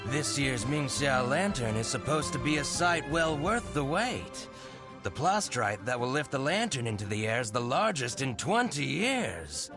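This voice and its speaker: A smooth-talking merchant, with a lively, swooping delivery and a slow pace. He is courteous and teasing in a theatrical anime game style, over background music. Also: mid-range voice. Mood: genial, smooth. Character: sly, genial small-time merchant man, smooth-talking and teasing.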